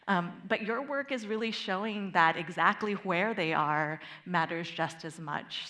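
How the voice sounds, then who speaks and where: A woman's voice: fairly low pitch, pushed and bright. A woman moderating a panel discussion, introducing guests and asking questions, with frequent ums.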